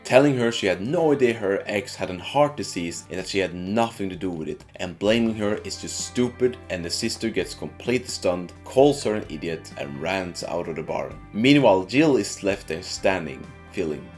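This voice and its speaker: A man's voice: low voice, animated, normal speed, few pauses. A man narrating a video game review in an informal, conversational storytelling way, like a casual video essay. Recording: music behind the voice.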